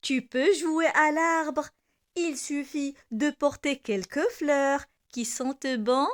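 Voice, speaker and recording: Woman w high voice reading a kids poem really really slowly, careful pauses between lines. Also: fairly even intonation. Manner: deliberate, with repeated lines and pauses. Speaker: poem reciter for primary school learners. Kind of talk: slow poem recitation for children.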